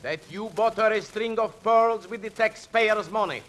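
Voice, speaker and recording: An accusing revolutionary in an old radio comedy. The voice is extremely high and sharp, excited and declamatory, with faint noise on the vintage recording. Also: male voice, normal speed. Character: shrill, accusing male revolutionary confronting a duke. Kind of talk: 1950s radio comedy acting.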